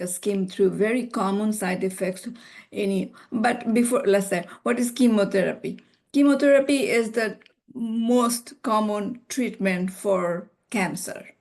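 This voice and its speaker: A middle-aged woman with a Bangladeshi accent, a physician speaking as a guest on a video call, rambling and warm with frequent "you know" and restarts. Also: mid-range voice, creaky. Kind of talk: conversational talk on a video call.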